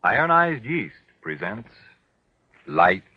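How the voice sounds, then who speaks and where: Deep, husky 1940s radio announcer man. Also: sing-song, very slow, dry recording. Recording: tinny, lo-fi 1940s radio recording.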